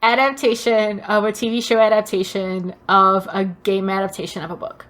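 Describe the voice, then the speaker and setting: A woman's voice: medium pitch, flat delivery, moderate pace, fairly bright tone. A woman co-hosting a podcast in casual banter, playfully exaggerating as she talks. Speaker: podcast co-host. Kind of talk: casual banter between friends.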